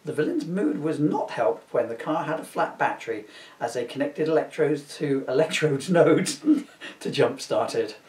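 A middle-aged man narrating a comic storybook at home with casual asides, amused and expressive. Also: fairly high pitch, moderate pace. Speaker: author reading aloud. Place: small living room at home. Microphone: camera a few metres away. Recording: roomy, slightly distant camera mic.

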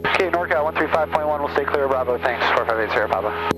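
A man's voice: mid-range voice, animated, fast. A man as a pilot making procedural radio calls to air traffic control on a headset mic. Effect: aviation radio. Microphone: aviation headset boom mic. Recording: noisy cockpit radio audio.

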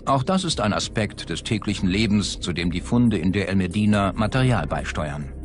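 A man reading scripted documentary narration in a measured, factual way. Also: sits low, expressive, slight background noise. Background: background music.

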